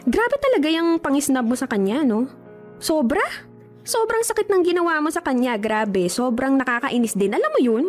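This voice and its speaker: Woman playing loyal pushy best friend, young, high voice, scolding and coaxing, radio drama, faint music. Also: very animated, moderate pace. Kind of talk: Tagalog radio drama acting. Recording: music behind the voice.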